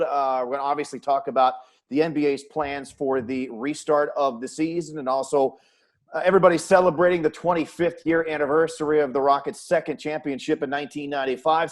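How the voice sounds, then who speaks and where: A man hosting an upbeat sports chat show with a playful, teasing manner. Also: a little high. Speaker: talk show host, sports play-by-play announcer.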